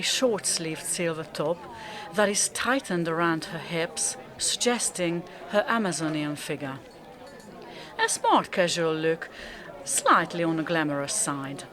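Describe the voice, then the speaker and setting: A woman reading scripted, descriptive narration for a radio drama. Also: lowish voice.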